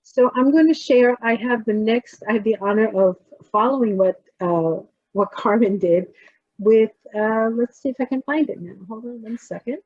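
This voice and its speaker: A woman's voice: on the high side, moderate pace, no room sound. A woman with a New Jersey accent, a poet chatting casually as she leads a workshop over a video call and trails off while she works through screen sharing. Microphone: computer mic on a video call. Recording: dull, lo-fi video call audio.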